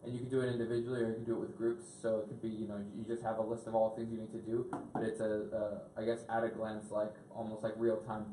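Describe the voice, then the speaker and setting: A man's voice: fairly low pitch, monotone, quick pace, few pauses, dark tone, a little hiss or hum. A man in a workshop group explaining a task tool, hesitant and full of fillers as he goes. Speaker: workshop participant. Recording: echoey room from far off.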